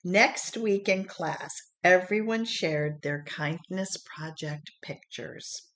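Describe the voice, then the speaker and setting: Female picture-book narrator, lower-pitched, slow and expressive delivery. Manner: unhurried, expressive storytelling. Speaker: storybook reader.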